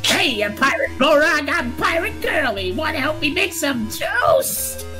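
A woman's voice: high-pitched, big pitch swings, unhurried. A woman voicing a silly cartoon pirate in exclamatory pirate talk for a comic dub. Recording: music behind voice.

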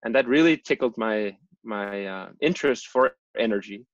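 A man in his thirties from Denmark giving a casual, self-deprecating talk over a video call, often pausing with ums. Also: on the low side, no room sound. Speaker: guest presenter, engineering professor. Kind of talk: casual lecture. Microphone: computer mic on a video call. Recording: dull, lo-fi video call audio.

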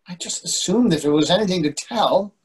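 A man's voice: high voice, animated, a little fast, slightly rough, exaggerated voice. An older man reading the part of an anxious, bossy husband in a stage play, fretful and full of questions. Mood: hurt, indignant. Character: anxious, bossy, needling husband in long marriage. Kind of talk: readers theatre play reading. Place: indoors on a video call.

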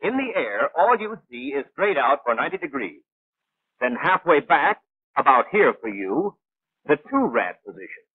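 Know-it-all 1940s training cartoon instructor, clipped n patient, high lively voice, tinny old film sound. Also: male voice, moderate pace, breathy edge, dry recording. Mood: instructive. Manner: precise, didactic, clipped. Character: brisk, know-it-all male gunnery instructor, patient but exacting. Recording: thin, band-limited 1940s film soundtrack.

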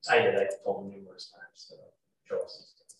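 A very echoey, distant recording of a young man testifying in court. His voice is low and stays flat, with a halting, procedural delivery of an officer on the witness stand. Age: young adult. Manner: halting, matter-of-fact, procedural. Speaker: sheriff's deputy testifying as witness. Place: courtroom, heard over video call. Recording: echoey courtroom, distant mic, faint noise.

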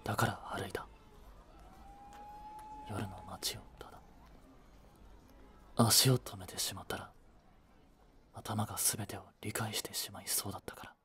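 A man playing a blunt, devoted young boyfriend in a romantic voice drama, with a breathy voice and heavy emotion. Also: lowish voice, little pitch movement, measured pace, pauses often, sharp tone, in a whisper. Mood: numb, hushed narration. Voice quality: breathy, slightly husky. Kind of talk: romantic Japanese voice drama acting.

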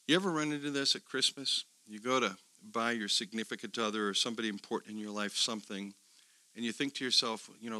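A middle-aged man teaching a seminar, animated, asking his listeners questions and swinging between quiet asides and loud emphasis. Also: medium pitch, dry recording, rough recording. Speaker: theology teacher. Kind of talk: seminar lecture to a live group.

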